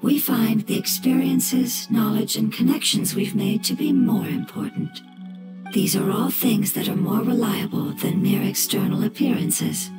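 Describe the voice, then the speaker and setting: A woman voicing a calm, wise non-human elemental being in a video game, with measured, formal delivery. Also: a little high. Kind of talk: video game character dialogue. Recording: echoing, game music behind.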